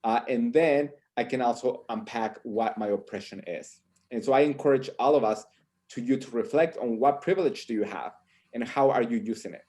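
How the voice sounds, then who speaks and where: A man's voice: mid-range voice, moderate pace, dark tone. A man speaking as a professor on a panel over a video call, reflective and often checking in with "right?". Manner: reflective, tags points with "right?".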